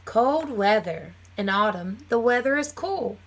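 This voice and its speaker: A woman's voice: on the high side, lively intonation, a little slow. A woman teacher reading a children's nonfiction book aloud, phrasing it simply for young listeners with casual asides.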